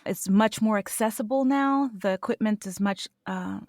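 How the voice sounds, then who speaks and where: A woman voice actor answering interview questions in an earnest, animated way, laughing now and then. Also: medium pitch, moderate pace, dry recording, clean studio sound. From United States. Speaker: voice actor guest.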